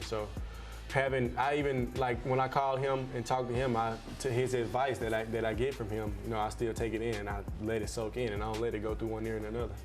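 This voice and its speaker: A seventeen-year-old man chatting casually and slangily in an interview as a basketball player, often repeating his phrases. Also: mid-range voice. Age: teenager, seventeen. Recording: music bed behind the voice.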